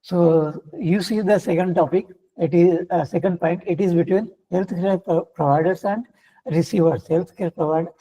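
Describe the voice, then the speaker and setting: Indian man, higher voice with a dark tone, hoarse and halting, fills every pause with uh, lecturing over muffled lo-fi audio. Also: steady tone. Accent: Indian English. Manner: halting, many uh fillers and restarts. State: getting hoarse. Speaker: guest lecturer. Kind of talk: online faculty workshop lecture.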